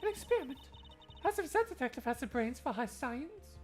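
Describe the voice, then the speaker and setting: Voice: high-pitched, big pitch swings, brisk, voice pushed up. A performer voicing a scornful, combative accuser in a game fandub, mocking and insistent. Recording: music behind the voice.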